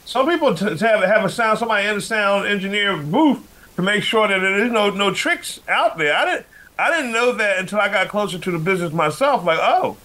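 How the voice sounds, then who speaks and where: A man's voice: sits high, animated, fairly quick, hardly any pauses, pushed and bright. A man hosting a radio interview show, asking questions in a chatty way and drifting into his own stories. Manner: chatty, jumps into personal stories.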